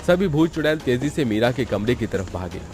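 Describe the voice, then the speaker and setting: A man tells a scripted animated horror tale as its narrator. His voice sits at a middle pitch, with background music underneath. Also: fairly quick. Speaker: story narrator.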